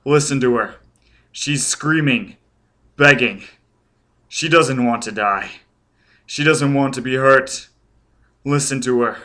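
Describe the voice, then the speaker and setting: A man voicing an agonized, uncanny burning apparition with grating, halting speech in an audiobook story. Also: medium pitch, pushed and bright. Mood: urgent, tormented.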